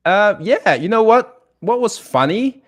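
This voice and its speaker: A man's voice: high-pitched, very animated, unhurried. A man from England chatting casually as a guest musician, telling stories in a self-deprecating way. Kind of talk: casual chat.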